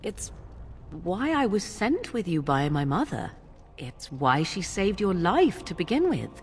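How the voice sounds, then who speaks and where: An actress playing a proud, guarded witch in a fantasy video game, speaking in formal, archaic phrasing with cool, deliberate persuasion. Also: lowish voice, very animated, a little mellow, long reverb tail, a little hiss or hum. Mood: solemn, persuasive.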